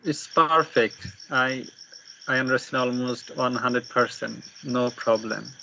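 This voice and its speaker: Casting: male learner, bright voice, halting casual talk, dull recording with faint chirping. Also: mid-range voice, level tone, vocal fry. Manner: halting, searches for words. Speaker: English learner. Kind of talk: casual practice conversation. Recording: dull, noisy with insect chirps.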